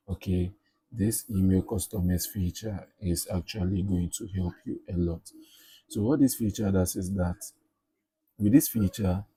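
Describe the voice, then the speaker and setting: Guy walking thru a software tutorial, low voice, really dry close sound, says okay a lot. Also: husky, no echo. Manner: repeats himself, frequent "okay" and "all right" check-ins. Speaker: tutorial presenter. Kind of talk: casual step-by-step software tutorial narration.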